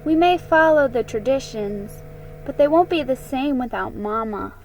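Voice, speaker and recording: A woman's voice: high-pitched, normal speed, faint music behind, pitched above the performer's own voice, poor audio. Woman voicing a blunt, downbeat storybook sister. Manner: flat, blunt.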